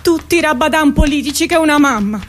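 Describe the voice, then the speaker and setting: A woman's voice: sits high, fast talker, slight background noise, lightly acted. An actress playing a brash, aggrieved working-class mother in a theatrical radio drama, complaining and pleading in colloquial, insistent repetitions. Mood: aggrieved, bitter. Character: brash, aggrieved working-class Italian mother defending her arrested son.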